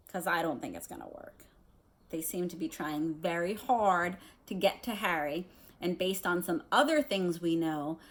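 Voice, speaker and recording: A woman reading a children's novel aloud like a teacher, expressively, with casual asides. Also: sits low.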